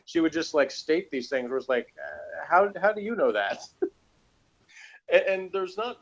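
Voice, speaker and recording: A man's voice: fairly high pitch, expressive, a little slow, muffled recording. A man giving a casual, conversational TV review, explaining and arguing his points at length. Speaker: podcast reviewer.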